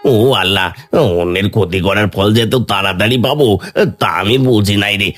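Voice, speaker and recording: Man acting as remorseful cartoon wrongdoer, fairly low, lamenting, moaning, music underneath. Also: a little fast, a bit bright, close to an everyday voice. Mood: despairing, remorseful. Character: wailing, remorseful village wrongdoer. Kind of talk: Bengali fairy-tale cartoon acting.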